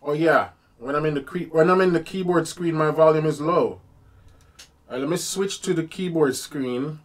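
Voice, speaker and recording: A fairly high-voiced man teaching piano on a live stream, talking to viewers in an easy, chatty way. He thinks out loud as he fiddles with his streaming mic in a home music studio. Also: normal speed. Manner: casual, chatty, troubleshooting aloud with viewers.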